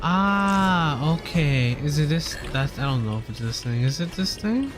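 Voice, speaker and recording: A game streamer with a middling pitch, talking casually while playing. The delivery is low-key and hesitant. Game music is clearly audible behind the voice. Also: lively intonation, unhurried. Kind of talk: casual game livestream commentary.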